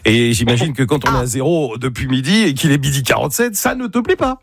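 A man's voice: mid-range voice, animated, quick pace, vocal fry, husky, no room sound, studio quality. A man with a Parisian French accent co-hosting a radio game, joking with callers. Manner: jokey, exclamatory. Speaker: radio co-host.

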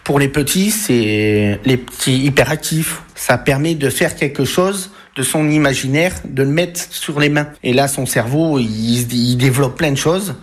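A man from Belgium answers interview questions in a casual, colloquial way. His voice sits at a medium pitch, and his phrasing is plain-spoken, with some repetition. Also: a little slow, few pauses. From Belgium, Ardennes. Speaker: interviewee, Lego builder.

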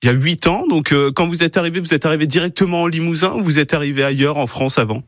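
A man's voice: fairly high pitch, fast, no room sound, studio quality. A man interviewing a guest on the radio in a conversational way, rephrasing his questions as he goes.

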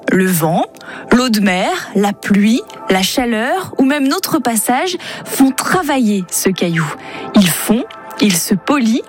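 A woman with a French accent telling a vivid explainer for children as a radio columnist, speaking directly to the listener. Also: on the high side. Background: soft music.

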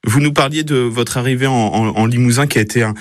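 A man's voice: medium pitch, fast talker, no room sound. A man interviewing a guest on the radio, asking long polite questions with a few restarts.

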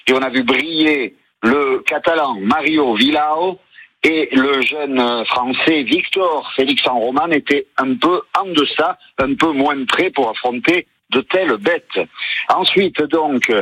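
A man giving a live radio report from a bullring, enthusiastic and halting, with many fillers. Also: medium pitch, fairly bright tone, husky, no echo, poor audio. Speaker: field reporter. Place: bullring arena.

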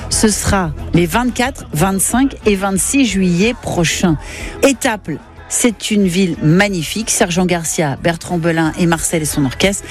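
A woman radio columnist reading out an agenda of summer events in an upbeat, lively way. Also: lowish voice, a little slow, soft background music. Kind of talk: read radio segment.

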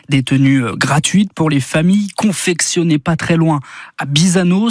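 A man reading a scripted radio news report in a steady, measured way. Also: a little high, dry recording, studio quality.

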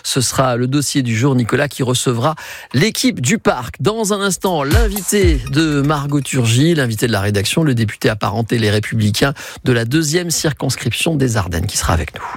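A man's voice: mid-range voice, big pitch swings, fairly quick, slightly rough. A man hosting a live morning radio show, presenting and handing over to colleagues and guests.

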